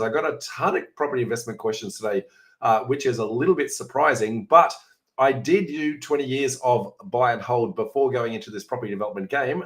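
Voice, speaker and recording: A middle-aged man with an Australian accent, hoarse and raspy today, hosting a casual question-and-answer session and chatting through answers with many filler sounds. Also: medium pitch. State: hoarse, coughing. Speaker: host answering viewer questions. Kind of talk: informal Q&A session.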